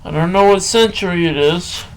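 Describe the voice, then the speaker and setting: A man talking and reading poetry solo as a podcast host, halting, with drawn-out phrases and long pauses. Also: high-pitched, slight background noise, low-quality recording. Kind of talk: rambling solo talk and poem reading.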